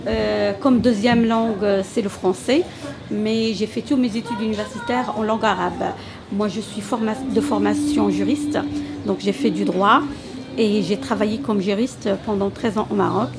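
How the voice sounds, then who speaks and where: A woman's voice: mid-range voice, steady tone, normal speed, vocal fry, over music. A middle-aged woman from Morocco, an Arabic speaker, answering interview questions hesitantly with many fillers. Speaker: language teacher guest.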